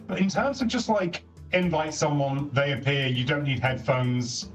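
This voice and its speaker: Chatty guy at home, loud carrying voice, far from the mic, some music behind. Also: a little high, moderate pace. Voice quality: voice that carries. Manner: chatty, self-correcting, says "don't get me wrong" often. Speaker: co-host testing a console feature. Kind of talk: casual chat over voice call. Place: home, moving between rooms. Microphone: console built-in microphone from across the room. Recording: distant voice over game chat with music.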